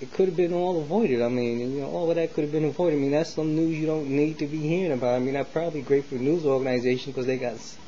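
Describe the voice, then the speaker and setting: American guy just rambling on a vlog, real mellow, slangy, repeats himself, kinda dull audio w a bit of hiss. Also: fairly high pitch, steady tone, quick pace, hardly any pauses. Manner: hesitant, repeats and circles back, colloquial street slang. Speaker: vlogger sharing opinions. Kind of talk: casual rambling monologue to camera. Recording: dull lo-fi sound with faint noise.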